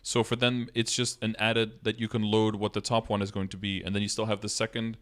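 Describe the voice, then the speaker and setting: A man's voice: fairly low pitch, flat delivery, fairly quick, fairly bright tone. A man in his thirties giving a casual step-by-step explanation as a game streamer, speaking into a close mic indoors. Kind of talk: casual live-stream tutorial, answering chat questions. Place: indoor room, streaming setup.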